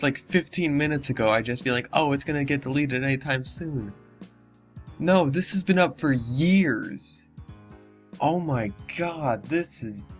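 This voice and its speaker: A man reading a story in a mock-dramatic way, breaking into casual, sarcastic and exasperated commentary. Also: medium pitch. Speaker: narrator reading and mocking a horror story. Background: background music under the voice.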